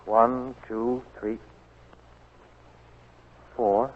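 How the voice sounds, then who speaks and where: A man's voice: medium pitch, flat delivery, extremely slow. An actor playing a brash, stubborn American newspaperman in a 1940s radio drama, punchy, slangy and impatient. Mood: tense, determined. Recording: tinny, scratchy 1940s radio recording.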